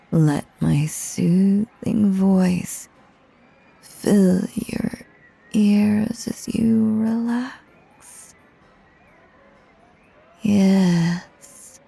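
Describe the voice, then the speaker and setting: A woman playing a possessive, lovestruck stage magician in a roleplay audio drama, theatrical and doting, often breathy or whispering. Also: mid-range voice, warm tone, heavily acted. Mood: hypnotic, sultry. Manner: theatrical, doting, drawn-out hypnotic cooing. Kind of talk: roleplay audio drama, intimate second-person monologue.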